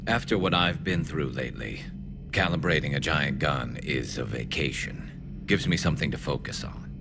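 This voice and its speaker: A man playing a battle-weary alien soldier in a video game, loyal and dryly wry, with a deep gravelly voice. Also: moderate pace, music in the background. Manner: dry, wry, understated. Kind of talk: video game character dialogue. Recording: loud background noise of a game scene.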